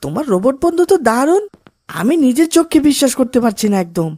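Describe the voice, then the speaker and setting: A woman plays an eager young boy in a cartoon, high-voiced and curious. His delivery is earnest and questioning, recorded dry. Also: big pitch swings, quick pace, dark tone, no room sound. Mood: admiring, curious. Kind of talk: children's cartoon voice acting.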